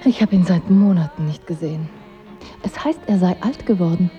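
A woman's voice: fairly low pitch, normal speed, mellow, highs cut off, soft background music, close to an everyday voice. A woman playing a cool, regal queen with a wry, composed manner in a literary radio drama.

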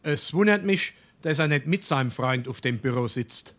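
A man's voice: medium pitch, lively intonation, moderate pace, a bit bright, lightly acted. A man playing a stuffy, respectable burgher father in a Darmstadt dialect comedy, speaking formally and ponderously. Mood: grumbling, solemn. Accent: Darmstadt Hessian. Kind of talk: dialect stage comedy acting.

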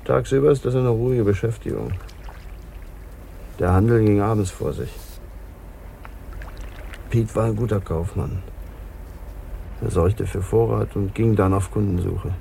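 An actor in a German radio crime drama playing a wounded, lonely Papuan man confessing with bitter resignation in a gravelly, subdued voice. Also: on the low side, measured pace, pauses often, warm tone, a little hiss or hum. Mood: subdued confession.

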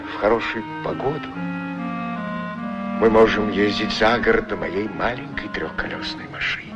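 An actor playing a lonely, tender, anxious war-veteran shoe shiner, speaking hesitantly and earnestly in a 1960s radio play. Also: male voice, lowish voice, moderate pace, dull audio, close to an everyday voice. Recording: old radio recording with music behind. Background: prominent music.

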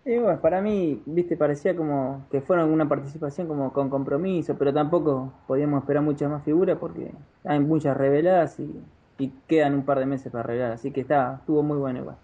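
A man's voice: a little high, steady tone, quick pace, warm tone, slightly rough, highs cut off. A man from Argentina with an Argentine accent, chatting casually as a podcast guest. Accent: Argentine Spanish. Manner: casual, says "viste" a lot.

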